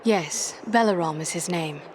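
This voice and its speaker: A woman's voice: on the low side, unhurried, a little mellow, a little hiss or hum, natural voice. An actress playing a gracious, brave elf woman in a fantasy game, with formal, poised phrasing. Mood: earnest.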